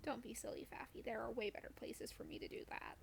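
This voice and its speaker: A woman's voice: fairly high pitch, fast, in a whisper. A woman chatting casually while playing a video game on a stream, playful and dryly whimsical. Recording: clear background noise.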